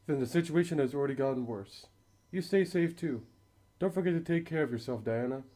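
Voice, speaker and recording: Guy acting a patient dad, soft dark mellow tone, calm, radio play. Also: a little high, a little fast, natural voice. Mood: reassuring. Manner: calm, reassuring. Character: gentle, patient father of a young girl. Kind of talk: amateur radio drama acting.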